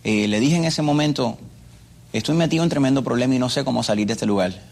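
A man's voice: mid-range voice, fast talker, gravelly, a little mellow. A Cuban man with a Cuban Spanish accent, a guest earnestly telling his personal story and often repeating his phrases. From Cuba. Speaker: interview guest. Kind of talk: personal testimony.

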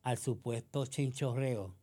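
A woman's voice: very deep voice, slow, dark tone, slightly rough. A woman with a Puerto Rican accent delivering a combative political monologue, sarcastic and accusatory, full of rhetorical questions. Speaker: political commentator.

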